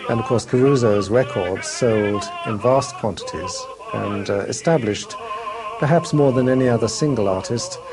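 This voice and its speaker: Music plays clearly behind a man with a medium-pitched voice. He explains his subject in a measured way, as an expert would in a documentary interview. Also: low-quality recording.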